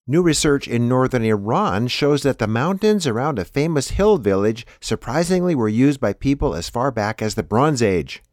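A man's voice: mid-range voice, a little mellow, no echo, clean studio sound. A man hosting a news programme, reading a scripted introduction and appeals to listeners. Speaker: news show host.

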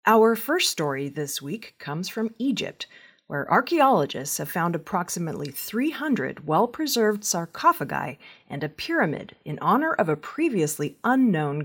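A woman's voice: fairly low pitch, breathy edge. A woman reading scripted news as a newsreader. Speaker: news reader.

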